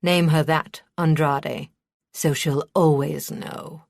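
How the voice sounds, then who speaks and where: A woman voicing a cold, cruel, commanding high prince and father in an audiobook, speaking with soft menace. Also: steady tone, takes it slowly, vocal fry, no echo, studio quality, exaggerated voice. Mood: stern, commanding.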